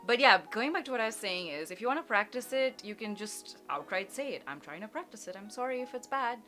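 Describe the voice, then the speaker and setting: A young woman from India, chatting casually as a streamer giving advice, self-deprecating and quick to laugh. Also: a little high, animated, bright, forceful tone, soft background music. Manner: chatty, self-deprecating, laughs often, switches into Hindi and Japanese.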